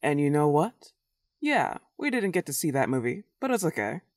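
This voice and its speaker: A woman's voice: low voice, sing-song, darkish tone, dry recording, clean studio sound. A woman playing a smug, clingy, lovesick werewolf in a comedic roleplay audio drama, taunting and cooing. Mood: defensive. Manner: taunting, drawn-out teasing and cooing.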